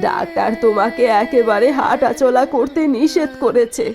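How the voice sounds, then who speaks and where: A woman's voice: a little high, moderate pace, music in the background, close to an everyday voice. A woman as a resigned elderly village mother. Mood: concerned. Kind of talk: Bengali cartoon voice acting.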